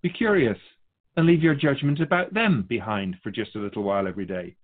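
A man voicing a wise, patient spirit keeper of souls who teaches in a measured, philosophical way. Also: mid-range voice, phone-quality sound, natural voice. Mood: gentle, encouraging.

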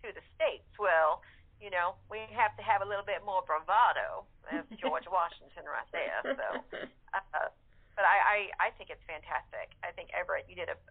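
Woman guest chatting, playful and theatrical, mid pitch, tinny phone audio. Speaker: actress, interview guest. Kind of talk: casual, animated interview chat. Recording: tinny telephone line.

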